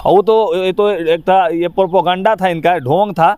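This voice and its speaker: A man's voice: high-pitched, steady tone, moderate pace, darkish tone, smooth, no room sound. A man with a Bihari accent giving his opinions in an informal interview, speaking emphatically in colloquial, rustic phrasing. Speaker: interview guest, actor.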